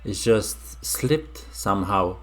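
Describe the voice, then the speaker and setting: Deep gravelly guy from romania reacting to videos, slow halting talk, lots of ums. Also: animated, soft background music. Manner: musing, halting, with many fillers and restarts. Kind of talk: casual reaction commentary.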